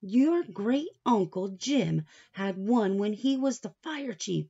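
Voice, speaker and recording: Casting: animated storybook grandfather, voiced by a woman, playful and encouraging with a grandchild, slightly muffled recording. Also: medium pitch, slow, vocal fry, slightly rough, full character voice. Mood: fond recounting. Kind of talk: children's storybook read-aloud.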